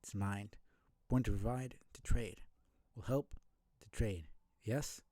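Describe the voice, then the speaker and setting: A man voicing a small, meek, strange creature trader in a video game, speaking in clipped, broken phrases with a breathy edge. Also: on the low side, fairly even intonation, takes it slowly, dark tone, no room sound, clean studio sound. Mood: humble, coaxing.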